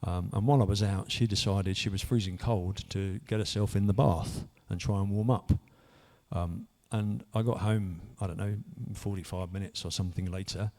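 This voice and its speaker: A man's voice: sits low, steady tone, normal speed, a little mellow. A man, earnestly telling his own story in a rambling way, full of restarts. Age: 50s. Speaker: church member sharing testimony. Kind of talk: personal testimony.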